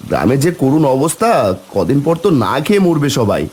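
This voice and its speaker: Man as animated humble cartoon villager. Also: medium pitch, brisk, husky. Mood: worried, pleading. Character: earnest humble village man, speaking for the poor. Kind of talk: Bengali cartoon voice acting.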